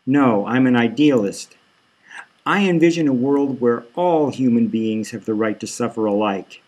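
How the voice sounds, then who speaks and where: A man's voice: mid-range voice, a little slow, darkish tone, close to an everyday voice. A man voicing a smug masked extremist villain in an audiobook, pompous and speechifying. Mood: lofty.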